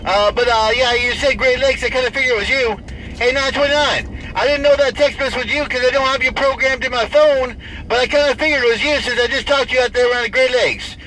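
A man's voice: very high voice, fast talker. A man talking in CB radio chatter, making showy, repetitive call-outs full of catchphrases and call signs. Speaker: CB radio operator and host. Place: inside a vehicle. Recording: very noisy CB radio recorded in vehicle. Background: loud radio and vehicle noise.

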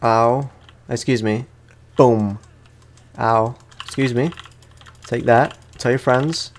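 A man's voice: medium pitch, fairly even intonation, slow pace, a little hiss or hum. Playful, chatty man doing casual gaming commentary. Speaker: gamer, let's play host.

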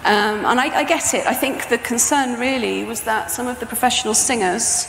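British woman, middle-aged, chatty presenter with some creak in her voice, reverberant hall with faint noise. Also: a little high, moderate pace. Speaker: composer and sound art professor presenting. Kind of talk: informal conference talk. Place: stage in a large presentation tent or hall. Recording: echoey hall with background noise.

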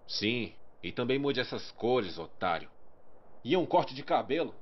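A man's voice: medium pitch, big pitch swings, measured pace. A man playing a cocky, foul-mouthed street gangster, taunting and boastful, in a muffled, noisy fan-dubbed game. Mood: mocking. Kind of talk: amateur game fan dub.

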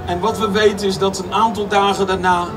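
A man's voice: high-pitched, little pitch movement, a little fast. A man interpreting a street sermon line by line, restating each phrase with occasional restarts. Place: outdoor square by a stage. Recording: distant PA voice, music behind.

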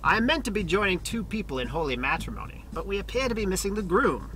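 A man's voice: high-pitched, big pitch swings, fast, sharp tone, full character voice. An actor in his thirties playing a weary, judgmental priest in a campy game cutscene, formal and genteel in his exasperation. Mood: urgent, formal. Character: weary, judgmental runaway priest fretting over his duty.

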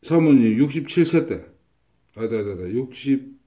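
A man's voice: mid-range voice, animated, fairly quick, warm tone. A man giving a fortune-telling consultation, stating predictions confidently and repeating himself. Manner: confident, repetitive pronouncements. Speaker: fortune teller.

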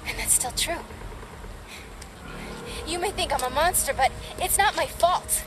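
An actress playing a brash young punk vigilante in a video game, defiant and sardonic in manner. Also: female voice, high-pitched, expressive, a little slow. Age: young adult. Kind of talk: video game drama acting. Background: cinematic music.

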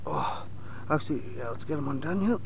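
A man vlogging from a small boat on a lake, chatting casually to viewers and getting excited as he fishes. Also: medium pitch, fairly quick, noisy background. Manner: excitable, talks to viewers as "you guys". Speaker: fishing vlogger. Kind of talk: casual on-water fishing commentary.

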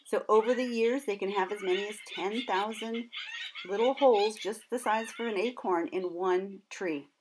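A woman's voice: medium pitch, moderate pace, background noise. A middle-aged woman presenting as a nature educator, explaining things to young children in simple words with questions to her listeners.

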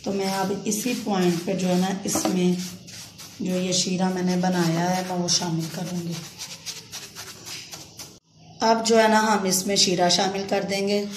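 A woman's voice: fairly low pitch, fairly even intonation, unhurried, long pauses, over music. A woman hosting a home cooking demonstration, chatty and full of fillers, recorded on a phone over the stove in a home kitchen. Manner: chatty, repeats fillers often. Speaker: cooking channel host. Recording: echoey kitchen with background noise.

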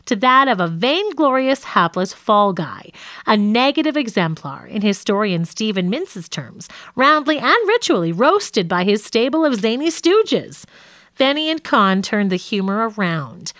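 A woman reading a scripted nonfiction audiobook in a measured, articulate way. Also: mid-range voice, very animated, a bit bright, dry recording, clean studio sound. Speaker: audiobook narrator.

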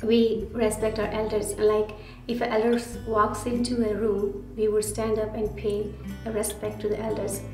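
A woman in her thirties from India, speaking in a steady, measured way with an Indian accent. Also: mid-range voice. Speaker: interviewee. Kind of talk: reflective testimony. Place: indoor studio with dark backdrop. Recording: roomy, slightly distant, guitar under. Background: soft guitar music.

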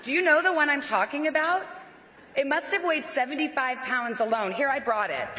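A woman's voice: high-pitched, brisk, in a large hall, noisy background. A woman in her forties giving a commencement speech, playful and rousing, from a podium mic in a large tent. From Arizona. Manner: warm, playful, rousing oratory. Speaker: commencement speaker. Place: large outdoor tent at a graduation.

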